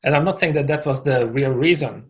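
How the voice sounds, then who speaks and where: A man from Hungary, a guest on a podcast, answering questions in a relaxed, conversational way and laughing easily. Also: mid-range voice, fairly quick. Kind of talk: casual interview answers.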